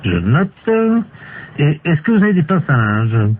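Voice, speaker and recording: Man posing as deadpan court official, tinny phone line. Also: on the high side, a little slow, a little hiss or hum. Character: deadpan male court official making absurd requests. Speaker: prank caller. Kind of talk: improvised telephone prank.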